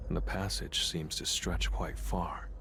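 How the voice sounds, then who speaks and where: A man's voice: sits low, fairly even intonation, a little slow, creaky, noisy background. An actor playing a cool, decisive young male hunter in a video game, speaking in a terse, composed way. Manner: terse, composed, matter-of-fact. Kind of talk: scripted dramatic game acting. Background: music.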